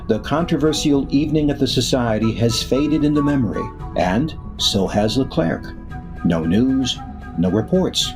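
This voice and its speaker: A man narrating a scripted radio drama as announcer, in a measured way with dramatic pauses. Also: medium pitch. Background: music.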